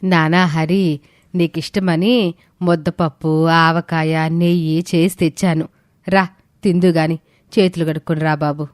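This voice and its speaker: A woman plays a middle-aged village mother in an audio story. Her voice is fairly low, close and dry, homely and affectionate. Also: flat delivery, unhurried, no echo. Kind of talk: scripted audio story dialogue.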